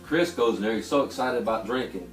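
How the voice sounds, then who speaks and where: A middle-aged man telling loose, profane anecdotes to friends in an animated way. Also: on the low side, fast talker. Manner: animated, profane, laughing through stories, quoting people. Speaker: storyteller. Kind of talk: casual anecdote among friends. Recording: echoey room, far from mic, music behind.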